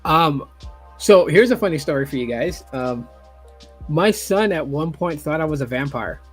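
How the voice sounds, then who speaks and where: A man's voice: on the high side, animated, normal speed, slightly rough, soft background music. A man in his forties hosting a casual online chat, swearing freely and acting out quoted talk. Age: 40s. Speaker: YouTube host.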